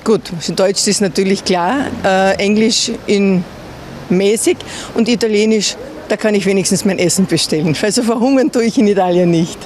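High-voiced older man on the street. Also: moderate pace, darkish tone, slight background noise. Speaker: passer-by. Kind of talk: street interview. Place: outdoors on a city square.

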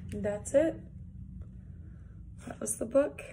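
Young woman, storytime reader for little kids. Unhurried pace, mid pitch, faint room noise behind. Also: mellow, low-quality recording. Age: young adult. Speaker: early years worker reading a picture book. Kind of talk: read-aloud storytime for young children with casual asides. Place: small plain indoor room, seated at a desk. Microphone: phone or device propped at arm's length.

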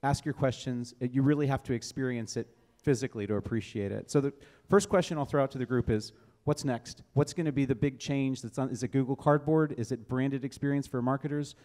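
Medium-pitched male panel moderator. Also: fairly quick, darkish tone, big-room sound. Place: indoor event space before a small audience.

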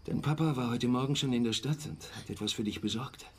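A tender, evasive father, played by an actor, with a creaky mid-pitched voice. He coaxes softly and hesitantly in a radio drama, with faint noise behind. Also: fast, close to an everyday voice. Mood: soothing. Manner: soft, hesitant, coaxing. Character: gentle, evasive young father and husband. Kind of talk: naturalistic German radio drama acting.

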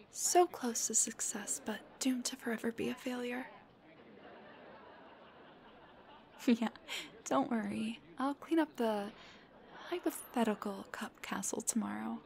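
A woman playing a playful, clingy, affectionate young girlfriend in an intimate ASMR roleplay, soft and breathy, often near a whisper, with a cutesy, pleading way of talking. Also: a little high, moderate pace, a little hiss or hum. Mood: sheepish, playful.

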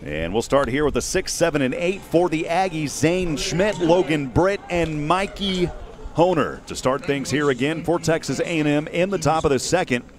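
A man's voice: fairly high pitch, lively intonation, normal speed, over music. Male baseball play-by-play announcer outdoors. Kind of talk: live sports commentary. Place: outdoors at a windy ballpark. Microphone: headset boom mic.

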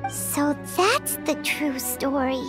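An actress voicing a tiny, curious, tender childlike fairy companion with a squeaky voice in a video game. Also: female voice, lively intonation, slow pace, music in the background. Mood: curious, moved. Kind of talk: cartoonish video game acting.

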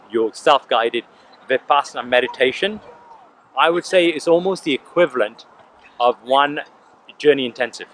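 A man's voice: mid-range voice, fairly bright tone, slight background noise, rough recording. Earnest man talking outdoors in a park. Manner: earnest, gesturing as he talks, frequent restarts. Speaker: vlogger. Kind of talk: casual reflective monologue. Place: park lawn outdoors by a statue. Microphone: camera at close range.

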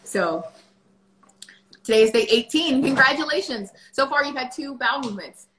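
A woman's voice: sits high, lively intonation, normal speed, fairly bright tone, reverberant, low-quality recording. A woman in her thirties chatting casually on a livestream at home, answering viewer questions with lots of fillers. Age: 30s. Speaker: vlogger. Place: home kitchen. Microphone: phone camera at table.

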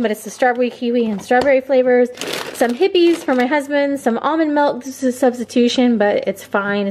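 Chatty woman vlogger, slightly high voice. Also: darkish tone. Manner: chatty, upbeat, filler-heavy. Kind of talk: casual haul vlog. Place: home bathroom and kitchen, indoors. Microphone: camera on tripod, then handheld.

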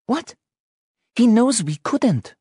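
An exhausted, accusatory mother in an audiobook, acted by a male narrator. The voice is pushed well up in pitch and swings widely as she scolds, with a dry sound. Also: sing-song, takes it slowly, warm tone, breathy edge, no echo, full character voice. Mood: weary, resentful. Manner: accusatory, exasperated. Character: exhausted, angry mother scolding her wayward teenage son. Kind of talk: audiobook character dialogue.

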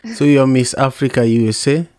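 A middle-aged man hosting a podcast interview, asking halting, encouraging questions and praising his guest. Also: medium pitch, darkish tone. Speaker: podcast host. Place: home studio indoors. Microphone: large studio mic with headphones.

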